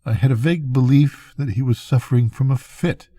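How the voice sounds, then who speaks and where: An older man with a husky voice reads a suspenseful story aloud in a measured, dramatic narrating style. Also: mid-range voice, dark tone, dry recording. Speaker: storyteller reading to grandchildren.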